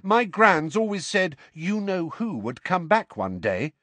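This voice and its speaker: A man's voice: high-pitched, very animated, unhurried, pushed and bright, no room sound, pitched above the performer's own voice, exaggerated voice. A man voicing a shy teenage boy who speaks simply and sincerely. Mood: earnest. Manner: plain, simple.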